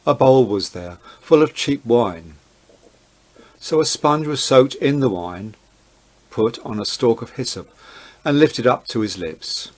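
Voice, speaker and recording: A man narrating a dramatized Bible reading in a measured, deliberate way. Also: mid-range voice, lively intonation.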